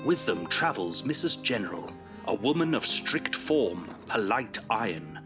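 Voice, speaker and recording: A male actor as the storyteller of a Victorian audio drama, reading scripted narration in a measured, grave, literary way. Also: fairly low pitch, sing-song, music in the background. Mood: solemn, wistful.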